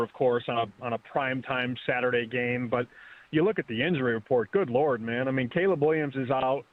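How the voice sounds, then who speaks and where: A man in his thirties calling in as a betting guest, talking fast and casually, often restarting his words. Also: medium pitch. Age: 30s. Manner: quick, restarts words, chatty. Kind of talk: casual sports betting chat. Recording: phone line.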